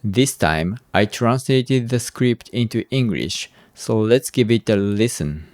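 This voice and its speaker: Low, unhurried man. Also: animated, a little mellow. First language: Japanese. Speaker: podcast host, scriptwriter. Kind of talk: podcast introduction.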